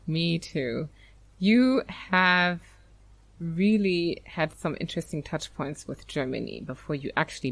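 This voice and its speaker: A woman's voice: low-pitched, vocal fry, no echo. A woman hosting a podcast, introducing the episode and chatting with a guest in an upbeat, enthusiastic way. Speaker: podcast host. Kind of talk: casual interview chat.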